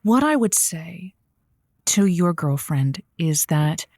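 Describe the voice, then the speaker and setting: A woman's voice: fairly low pitch, animated, slow pace, darkish tone, dry recording, studio quality, exaggerated voice. A woman playing a sympathetic, flirty late-night radio advice host who soothes and coaxes her callers with pet names in a loose improvised style. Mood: coaxing, advising. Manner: soothing, empathetic, coaxing, uses pet names. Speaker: radio call-in show host. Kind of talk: improvised comedic advice-show hosting.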